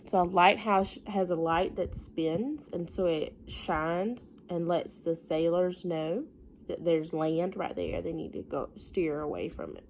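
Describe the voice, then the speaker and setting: A woman's voice: lowish voice, lively intonation, a little slow, darkish tone, slight background noise. A woman reading a picture book aloud to young children like a teacher, breaking off for casual asides, simple explanations and questions.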